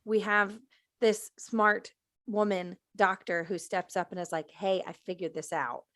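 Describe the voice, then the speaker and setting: A woman's voice: mid-range voice, fairly even intonation, measured pace, a bit bright, no room sound. Enthusiastic woman chatting, very dry sound. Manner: emphatic, enthusiastic, informal. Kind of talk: casual panel chat about a TV show.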